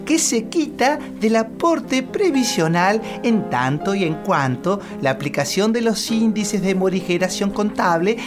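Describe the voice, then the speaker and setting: A woman's voice: medium pitch, very animated, measured pace, hardly any pauses, over music. A woman voicing a self-important, long-winded male politician who talks in technical jargon, with an Argentine accent. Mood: lofty. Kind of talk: political satire radio sketch.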